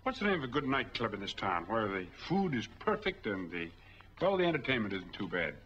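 Animated, self-assured middle-aged gentleman. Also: on the low side, a little fast. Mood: courteous, casual. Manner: courteous, casual. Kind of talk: 1940s film noir acting. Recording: muffled 1940s film soundtrack.

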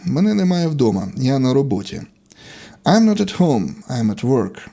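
Guy teaching english, kinda husky and mellow, short phrases one at a time, pitch moves around a lot. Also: sing-song, normal speed. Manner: instructional, short separate sentences. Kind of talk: language lesson, reading example sentences in Ukrainian and English.

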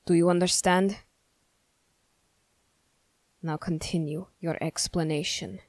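Girl doing a stern suspicious young soldier guy for asmr roleplay, lowish voice, really slow and formal, super dry close sound. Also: steady tone, a little mellow, no echo. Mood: stern, commanding. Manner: measured, formal, interrogating. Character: stern, suspicious young male Fjerdan soldier, witch hunter. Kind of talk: ASMR character roleplay, slow scripted monologue.

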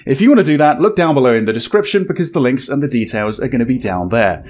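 Lively male gaming host. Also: medium pitch, fast. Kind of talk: casual gameplay commentary to viewers. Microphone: desk microphone close up, headset worn. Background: game music.

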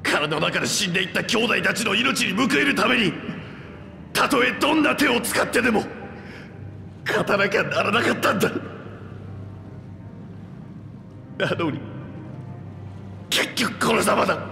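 An actor playing a proud, anguished reptilian warrior with rough, boastful speech in a video game. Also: male voice, high voice, expressive, moderate pace, pauses often, pushed and bright, full character voice. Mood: anguished, desperate. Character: proud two-headed reptilian warrior man, anguished and remorseful. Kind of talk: dramatic video game voice acting. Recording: echoey long reverb under music.

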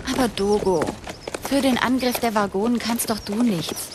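A woman's voice: fairly high pitch, moderate pace, background noise, close to an everyday voice. A woman playing a blunt, feisty but loyal young dragon rider in a fantasy audio drama. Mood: reassuring, loyal. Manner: blunt, slangy, sarcastic.

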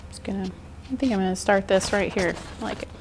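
A woman's voice: mid-range voice, quick pace, background noise. A woman in her forties giving an informal, encouraging art journaling tutorial in a casual style. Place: indoor art studio, seated at work table.

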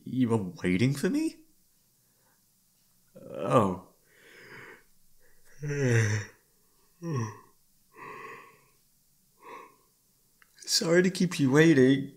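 A man's voice: mid-range voice, very animated, slow, long pauses. A man playing an exhausted, affectionate young boyfriend in an intimate ASMR roleplay, speaking hesitantly with sighs. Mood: groggy, gentle. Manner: tired, sighing, hesitant, soft-hearted.

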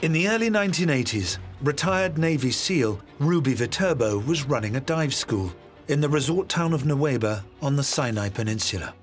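A man narrating a documentary in a measured, scripted way. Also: mid-range voice, soft background music. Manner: measured, even storytelling.

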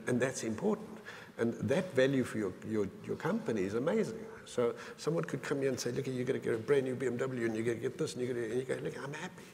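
A man's voice: medium pitch, gravelly, darkish tone, a little hiss or hum. A man speaking as a panelist at a live conference discussion, earnest and emphatic, often repeating himself. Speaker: panelist, managing director. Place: large conference hall stage. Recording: hall with long reverb.

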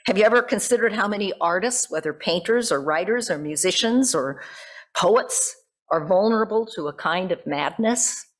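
A woman's voice: mid-range voice, animated, normal speed, poor audio. A middle-aged American woman delivering a reflective sermon from a church pulpit, phrase by phrase, putting questions to the congregation. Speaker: pastor preaching. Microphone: gooseneck pulpit microphone.

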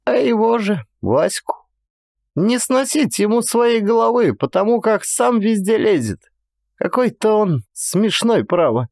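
A male narrator plays a frail old village woman in a storybook, her voice pitched high and swinging up and down. She rambles in folksy, resigned, childlike phrases, with sighs. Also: sing-song, measured pace, a little mellow, no echo, studio quality, exaggerated voice. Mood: resigned fretting. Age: older. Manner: folksy rural speech, rambling, sighing. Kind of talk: dramatized audiobook storytelling.

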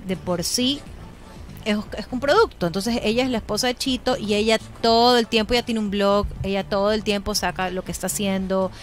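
A woman's voice: medium pitch, brisk. A woman in her forties with an Ecuadorian accent, hosting a casual radio chat on a close studio microphone. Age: 40s. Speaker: radio host. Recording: faint music behind the voice. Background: faint music.

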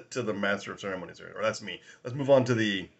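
A man in his forties with a raspy voice from a sinus infection, rambling casually through a whiskey tasting vlog at home, filming on a phone at arm's length. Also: fairly low pitch, animated, fast, highs cut off. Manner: rambling, chatty, laughs often, many fillers. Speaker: whiskey review host. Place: small room at home, indoors.

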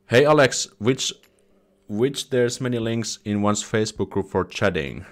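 A man's voice: lowish voice, animated, measured pace. A young Finnish man with a Finnish accent hosting a casual live stream at home, reading viewer comments and chatting, often restarting his words and slipping into Swedish phrases. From Finland. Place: small indoor room at home. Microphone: headset with desk mic in front.

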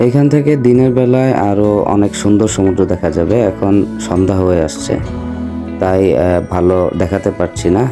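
Low-voiced Bangladeshi man narrating over music. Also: fairly even intonation, moderate pace. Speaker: travel vlogger. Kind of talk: hotel review narration.

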